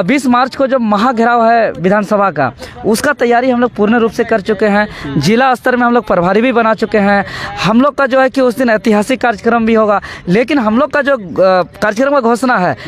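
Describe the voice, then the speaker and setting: A man speaks in a strikingly high-pitched voice. He argues like an activist in an interview, laying out points methodically. His delivery is emphatic and rhetorical, leaning on repeated phrases. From Jharkhand, India. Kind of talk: political interview, speech-like argument.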